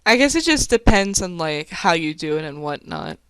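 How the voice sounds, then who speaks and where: A woman's voice: lowish voice, big pitch swings, normal speed, a bit bright, clean tone, dry recording, poor audio. A woman chatting casually as an amateur voice actor hosting a discussion. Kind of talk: casual chat.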